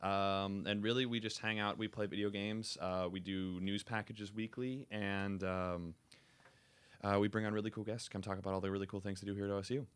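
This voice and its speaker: A young man with a rich, deep timbre talking casually as an interview guest. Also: fairly even intonation, fairly quick, clear, resonant tone. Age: young adult. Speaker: interview guest, student radio promotions staffer. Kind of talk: casual, unscripted interview talk.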